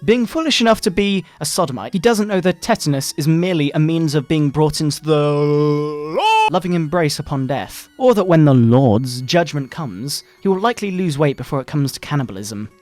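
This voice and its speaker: Man putting on a pompous, high-pitched fire-and-brimstone moral crusader. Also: big pitch swings, a little mellow. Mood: scornful, preachy. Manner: pompous, melodramatic preaching with drawn-out words. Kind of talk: mock-dramatic satirical reading.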